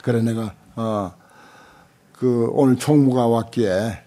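An older man, a Buddhist monk giving an informal lecture in a halting, conversational way with short interjections. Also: mid-range voice, dark tone. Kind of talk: informal dharma lecture with commentary on a text. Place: lecture room at a temple center, seated at a desk. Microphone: desk gooseneck microphones close in front.

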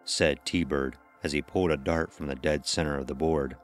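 A man's voice: rumbling low, steady tone, normal speed, soft background music. A man narrating a scripted horror story as the narrator of an audio drama.

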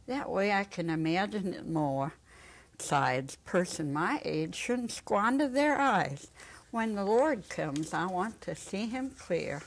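Low-voiced, folksy old woman read by a woman. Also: animated, takes it slowly, heavily acted. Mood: earnest. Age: elderly. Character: superstitious, devout, childlike old woman. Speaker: dramatic reader. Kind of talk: dramatic reading of a short story. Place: small indoor stage set.

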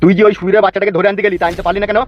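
A man's voice: high voice, level tone, rapid-fire, natural voice. A man voicing a menacing, boastful gang boss in a comedy cartoon, with a rural West Bengal accent. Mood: suspicious, boastful. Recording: dull, noisy lo-fi recording.

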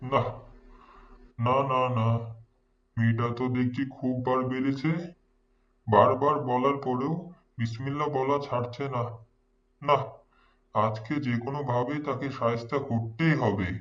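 A man's voice: mid-range voice, fairly even intonation, unhurried, long pauses, a bit bright, far from the mic, dull audio, close to an everyday voice. A man playing a scheming, irritable middle-aged father in a moral cartoon, with a theatrical delivery. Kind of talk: Islamic moral cartoon voice acting.

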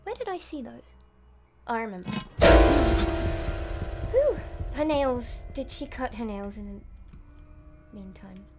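A woman's voice: a little high, sing-song, measured pace, long pauses. A woman in her thirties giving casual, wry horror game commentary, muttering to herself as she plays. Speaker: game streamer. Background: game music.

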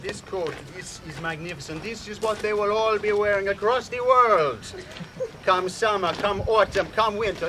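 A man's voice: high voice, natural voice. A man voicing a flamboyant, boastful showman in a put-on Jamaican accent, with grand theatrical declarations, performing outdoors at night. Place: outdoor beach stage at night. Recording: loud outdoor noise.

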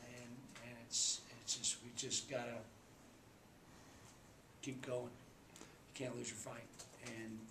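Flat-voiced man in an echoey press room. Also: medium pitch. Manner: measured, halting, many fillers and restarts. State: subdued after a loss. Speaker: basketball coach. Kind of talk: postgame press conference. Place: small press room indoors. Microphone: table mic. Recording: echoey room, faint background hum.

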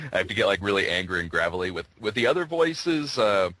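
Laid-back man, lively and bright. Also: lowish voice, fairly quick, dry recording. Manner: laid-back, joking, rambling with fillers. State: losing his voice. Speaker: interview guest. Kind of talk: casual remote interview chat.

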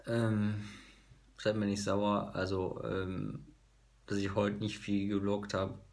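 A man in his thirties, hoarse, vlogging casually to a phone camera in a small room, halting with many fillers. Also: lowish voice. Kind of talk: casual vlog talk to camera. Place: small bedroom office indoors. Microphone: phone camera at arm's length.

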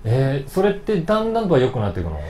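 A man's voice: mid-range voice, wildly swooping pitch, very fast, crowd noise. A man hosting a casual interview, speaking informally and attentively, with frequent small responses as he prompts his guest. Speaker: interview host, actor. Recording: echoey room, faint background noise.

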